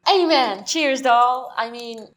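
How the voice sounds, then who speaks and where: A woman's voice: a little high, slow pace. A woman playing a cheeky, earnest young lad helping investigate in an amateur comedy murder mystery, chatty and colloquial.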